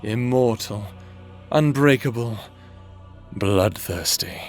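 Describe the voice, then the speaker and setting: A man playing a menacing, smug necromancer in a fantasy audio roleplay, with a theatrical, measured and mocking delivery. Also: mid-range voice, faint music behind, natural voice. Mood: menacing, gloating. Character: menacing male necromancer villain, smug mad experimenter. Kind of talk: dramatic audio roleplay monologue.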